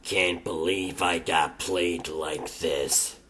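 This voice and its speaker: A man voicing a gruff, reluctant superhero brawler in a comic dub, gravelly, terse and grumbling. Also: sits low, steady tone, takes it slowly, pushed and bright, slight background noise, full character voice. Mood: sullen, grumbling. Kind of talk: comic dub character acting.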